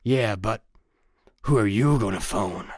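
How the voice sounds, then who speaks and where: A smug action hero gone bad, in a sci-fi radio comedy. He is breezy, deadpan and pleased with himself. The sound is close and dry. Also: lowish voice, takes it slowly, no room sound. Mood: smug, unapologetic. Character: smug fake action hero turned criminal mastermind. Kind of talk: comic sci-fi radio play acting.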